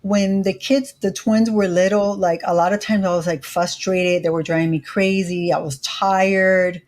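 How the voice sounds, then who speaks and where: Woman, 40s, Miami accent, earnest, casual interview guest, filler-heavy, mid pitch. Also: steady tone, normal speed, darkish tone. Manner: earnest, frequent "you know" fillers. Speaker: interview guest, credit educator.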